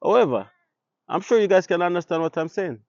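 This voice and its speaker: A man's voice: sits high, animated, a little fast, a little mellow, dry recording, lo-fi sound. A Jamaican man, a native Patois speaker, talking casually to his viewers and putting rhetorical questions to them. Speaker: vlogger. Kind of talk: casual talk to camera.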